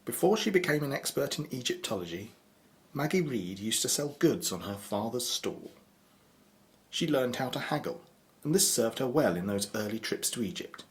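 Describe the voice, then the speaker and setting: A man's voice: medium pitch, sing-song, moderate pace. Male narrator for audio drama, middle register, scripted scene-setting delivery.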